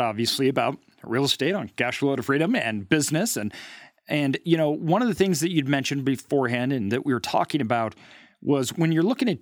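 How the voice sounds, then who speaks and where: A man's voice: medium pitch, no room sound, clean studio sound. A man hosting a casual interview podcast in an informal, talkative way. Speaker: podcast host.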